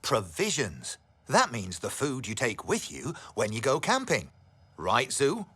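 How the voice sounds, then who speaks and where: Very lively, patient cartoon shopkeeper. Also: male voice, medium pitch, a little slow, slightly rough, exaggerated voice. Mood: patient, helpful. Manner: patient, explaining gently. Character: friendly, patient male zebra shopkeeper. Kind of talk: children's cartoon dialogue.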